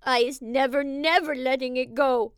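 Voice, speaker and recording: Storybook giant, male, played by a woman: very high, fretful, exclamatory, garbled grammar, close dry sound. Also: steady tone, normal speed, smooth, dry recording, close to an everyday voice. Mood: fretful, determined. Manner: exclamatory, odd muddled words and grammar. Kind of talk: audiobook character acting.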